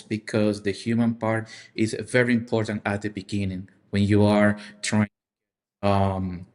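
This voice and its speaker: A man who is a non-native English speaker, discussing a topic casually on a podcast and haltingly searching for words. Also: lowish voice. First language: not English. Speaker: podcast co-host or guest.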